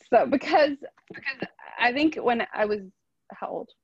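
A woman's voice: high-pitched, gravelly, a bit bright, breathy edge, dry recording, dull audio. A woman from Hong Kong talking casually as an interview guest, telling her own story.

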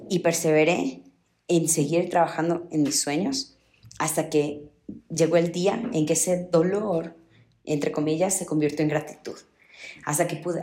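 A woman's voice: low voice, darkish tone, reverberant. A young woman from Ecuador giving an earnest motivational talk, repeating phrases for emphasis. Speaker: motivational speaker.

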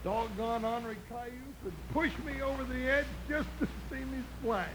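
A man playing a big, comical, grumbling cowboy sidekick with an excitable American drawl, in old-time radio western style. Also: moderate pace. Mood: nervous complaining. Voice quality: high-pitched, folksy voice. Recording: noisy old radio recording.

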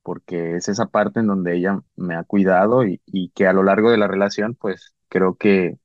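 A man's voice: low-pitched, fairly even intonation, moderate pace, dry recording, dull audio. A man speaking earnestly and thoughtfully about his fiancée in a casual conversation. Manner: earnest, thoughtful, hesitant restarts. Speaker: guest, engaged to be married. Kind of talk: reflective casual talk.

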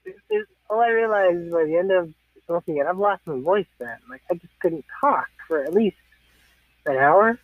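A man's voice: high voice, expressive, moderate pace, no echo. A man in his thirties telling rambling, profane anecdotes in casual chat. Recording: tinny phone-like sound.

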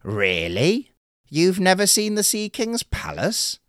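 A man voicing a kindly, calm little male sea turtle in a storybook tale, speaking slowly and courteously. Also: fairly high pitch, dry recording, studio quality, voice pushed up. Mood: gentle, earnest. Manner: slow, gentle and courteous. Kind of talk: storybook character voice.

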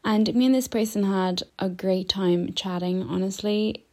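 A young woman hosts a podcast in an easygoing personal monologue. Her pitch sits in the middle, neither high nor low. She talks in a thoughtful way, often says "like", and her sentences tend to fade out softly. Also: measured pace. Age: young adult. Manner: reflective, often says "like", lines trail off quieter. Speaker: podcast host.

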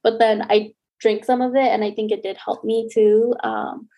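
Mellow, slightly stuffy young woman. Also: on the high side, little pitch movement, moderate pace, breathy edge. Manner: chatty, lots of "like". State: stuffy from a cold. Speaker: podcast co-host. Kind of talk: casual podcast chat.

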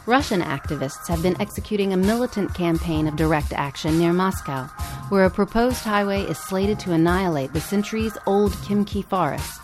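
Woman, low voice, scripted narration, podcast host, clear music underneath. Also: normal speed, darkish tone.

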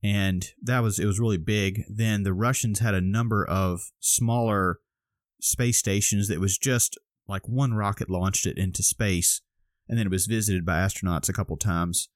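A man's voice: on the low side, fairly even intonation, moderate pace, no echo, clean studio sound. A man co-hosting a podcast, explaining a topic step by step in casual chat, a little groggy. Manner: explains step by step with many restarts. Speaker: podcast co-host.

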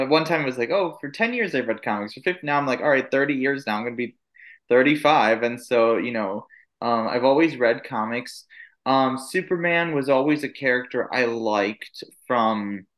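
A man's voice: medium pitch, fairly bright tone. Man in his 30s, first language Italian, casual guest storyteller who backtracks often. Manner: rambling, storytelling with many fillers and restarts. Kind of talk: casual podcast interview.

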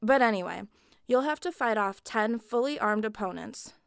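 A woman's voice: on the high side, animated, moderate pace, creaky, clear, resonant tone, dry recording, studio quality. A woman playing a sarcastic, bossy military training instructor in a video game, in a comic audio drama. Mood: sardonic. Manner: sardonic, bossy, condescending.

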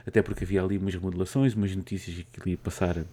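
A man's voice: low voice, little pitch movement, rapid-fire, mellow, slight background noise. A man with a European Portuguese accent hosting a casual gaming podcast, rambling along with many fillers. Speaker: podcast host.

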